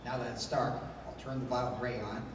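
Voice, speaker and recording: Commanding pulp-hero leader played by a man, analytical 1930s radio manner, mid-pitched voice, very echoey noisy recording. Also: fairly even intonation, a little fast, close to an everyday voice. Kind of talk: 1930s-style radio play acting. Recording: echoey hall from far off, noisy.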